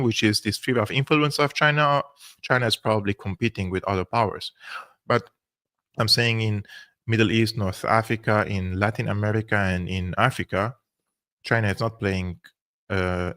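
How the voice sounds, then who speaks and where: A man's voice: lowish voice, fairly bright tone, no room sound, studio quality. A man who is a native speaker of Arabic and Armenian, giving live political commentary as a host and analyst, explaining his points emphatically.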